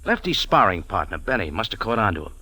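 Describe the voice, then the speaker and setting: A man's voice: low voice, big pitch swings, fast talker, bright, forceful tone, breathy edge, dry recording, full character voice. A man playing a tough, wry cafe owner and amateur sleuth in an old radio drama, telling it in a clipped, dry, hard-boiled way. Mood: cool, explaining. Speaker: lead actor and first-person narrator in a radio drama. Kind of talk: 1940s-50s hard-boiled radio detective acting. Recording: old radio recording with music behind.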